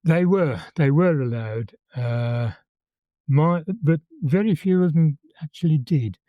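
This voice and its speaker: A man in his eighties with a Birmingham accent, calmly recalling old times as an interview guest and often repeating words as he tells his story. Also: a little high, slow pace, no echo, narrow, tinny audio. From England. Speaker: interview guest, former conscript. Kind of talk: reminiscing in conversation.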